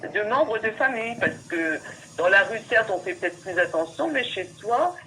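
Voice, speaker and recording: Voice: mid-range voice, lively intonation, moderate pace, pushed and bright. A legal adviser taking a phone call, speaking hesitantly and in a conciliatory way, often agreeing with the caller. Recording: noisy telephone call recording.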